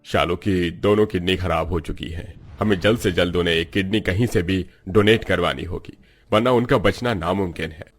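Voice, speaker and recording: A man's voice: low-pitched, moderate pace, soft background music. A man playing a grave hospital doctor in a moral story, serious and urgent in manner.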